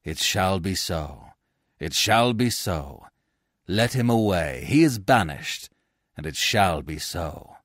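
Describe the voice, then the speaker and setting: A man's voice: deep voice, animated, takes it slowly, a bit bright, no echo, clean studio sound, voice pushed down, exaggerated voice. A man voicing a crowd of rowdy Roman citizens who chant and jeer together. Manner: chanting, jeering.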